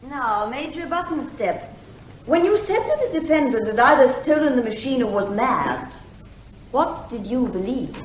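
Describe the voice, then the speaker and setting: A woman's voice: high voice, very animated, normal speed, slight background noise. A woman playing an insistent barrister in a courtroom comedy drama, putting pointed, formal questions. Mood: brisk, formal. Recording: roomy, echoing sound.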